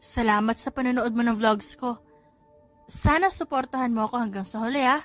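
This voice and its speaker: Audiobook heroine: feisty, bashful young Filipino mom, done by a female narrator, higher-pitched, music underneath. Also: a little fast, a bit bright, close to an everyday voice. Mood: friendly.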